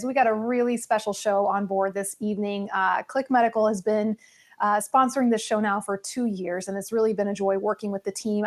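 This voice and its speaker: A woman hosting a casual livestream, chatting informally and speaking directly to her viewers. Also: fairly high pitch. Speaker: show host.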